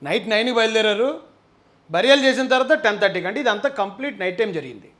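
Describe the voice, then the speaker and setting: A man's voice: high voice, expressive, fast, a bit bright. A man giving impassioned live political commentary, emphatic and argumentative, putting rhetorical questions to viewers.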